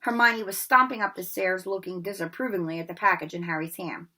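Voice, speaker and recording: A woman reading a children's novel aloud in an expressive storybook manner, with occasional slips and rereads. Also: on the low side, a little fast. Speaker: read-aloud narrator.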